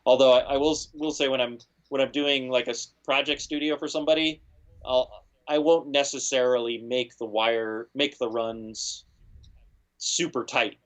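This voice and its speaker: A man, a guest audio engineer, giving relaxed advice in an interview and filling his speech with "you know" and "like". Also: medium pitch, moderate pace. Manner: laid-back, lots of "you know" and "like". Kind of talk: casual interview talk.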